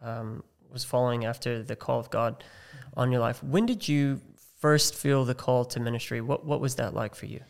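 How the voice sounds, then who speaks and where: A man's voice: medium pitch, fairly even intonation, moderate pace, gravelly, few pauses. A man hosting a podcast interview, friendly and affirming, with casual fillers and restarts. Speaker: podcast host.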